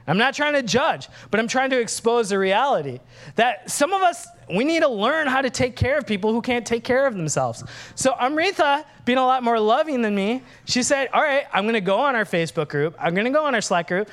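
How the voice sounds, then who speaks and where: A man's voice: extremely high pitch, fairly quick. A man, preaching a candid, humorous sermon as pastor. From Minnesota, USA. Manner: animated, candid, humorous, acts out dialogues.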